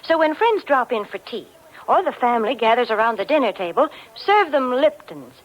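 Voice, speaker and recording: A woman giving a polished, cheery sales pitch in the style of a 1940s radio commercial announcer. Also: big pitch swings, normal speed, dull audio. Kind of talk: 1940s scripted radio advertisement.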